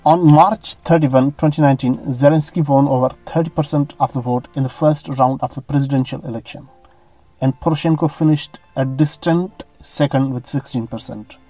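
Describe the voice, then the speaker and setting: Mellow-voiced man reading a biography. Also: medium pitch, fairly even intonation, moderate pace, no room sound, soft background music, poor audio. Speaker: narrator. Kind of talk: scripted biography narration.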